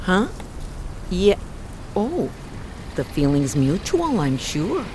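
A woman's voice: lowish voice, sing-song, takes it slowly, noisy background. A woman playing a wary, brassy young streetwalker in a 1920s New York radio drama, with brusque street slang. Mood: irritated. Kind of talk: radio drama acting, 1920s period piece.

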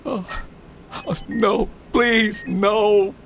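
A man's voice: high-pitched, fairly even intonation, very slow, a bit bright, a little hiss or hum, full character voice. An actor playing a grieving young nobleman who is wounded and grimly set on vengeance in a science fiction audio drama. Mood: weak, distraught.

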